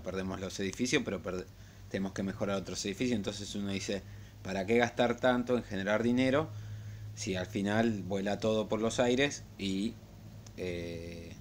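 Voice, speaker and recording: A man giving a casual, unscripted game tutorial in a halting, rambling way. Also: fairly low pitch. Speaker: gaming tutorial host. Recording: clear background noise and hum.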